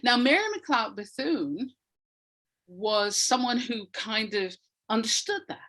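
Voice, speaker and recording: An older woman speaking reflectively as an interview guest, in a measured, deliberate way with emphasis on key words. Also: fairly high pitch, lively intonation, fairly bright tone. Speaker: guest, playwright and retired academic.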